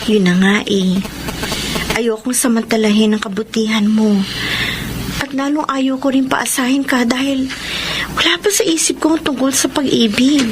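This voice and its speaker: A woman's voice: on the high side, normal speed, pauses often, noisy background, close to an everyday voice. An actress playing an earnest, dutiful young woman in a Filipino radio drama, with a heartfelt, polite delivery. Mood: gently reluctant. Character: earnest, dutiful, devout young woman burdened by wayward parents. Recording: radio drama with music underneath.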